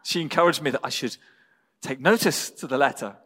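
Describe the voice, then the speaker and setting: A man with an English accent preaching a sermon as a pastor, speaking in measured, deliberate phrases with pauses. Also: medium pitch, animated, fairly bright tone, slightly rough. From England. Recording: reverberant hall.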